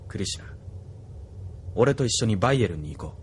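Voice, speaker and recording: An actor voicing a cold, ambitious young officer who speaks in a cool, detached way, in a studio radio drama. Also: male voice, fairly low pitch, expressive, fairly quick, natural voice. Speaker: military officer and pilot.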